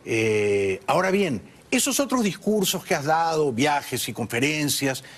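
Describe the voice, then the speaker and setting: A man interviewing a guest, asking expressive questions and making self-deprecating jokes. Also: on the high side, unhurried. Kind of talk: interview conversation.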